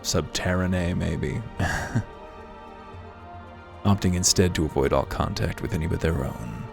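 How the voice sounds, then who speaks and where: A man's voice: deep voice, unhurried, creaky. A man giving wry, musing, articulate commentary as the host of a video game playthrough, moving between casual asides and reading aloud. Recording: music playing behind the voice. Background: music.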